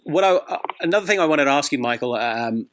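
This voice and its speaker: A man's voice: fairly high pitch, lively intonation, fast, a bit bright. A man interviewing a guest, asking questions and reacting with short, encouraging interjections.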